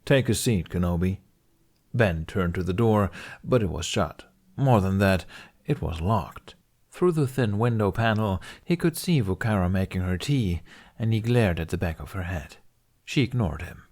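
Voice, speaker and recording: Male audiobook narrator, slightly low pitch, dramatic scripted storytelling. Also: animated, moderate pace, darkish tone.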